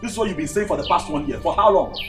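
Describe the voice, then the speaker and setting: A man's voice: sits high, racing, fairly bright tone, soft background music. A man playing a frustrated village husband who complains in exasperation. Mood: exasperated.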